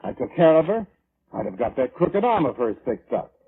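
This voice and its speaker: A man's voice: fairly high pitch, very animated, moderate pace, a little hiss or hum. An actor playing a selfish, self-justifying man in an old-time radio drama, defensive and colloquial in manner. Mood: indignant. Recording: muffled vintage radio recording.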